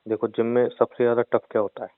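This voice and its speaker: A man's voice: mid-range voice, little pitch movement, fast talker, dry recording. A young man teasing his girlfriend in a comedic phone call, playful and mocking. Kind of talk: scripted comedic phone banter.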